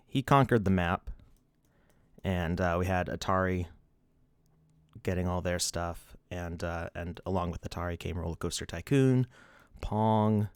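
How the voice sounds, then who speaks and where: Low-voiced man chatting on a livestream. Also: no room sound, studio quality. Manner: rambling, laughs often, restarts, reads chat. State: sniffly. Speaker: livestream host. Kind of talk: casual livestream chat.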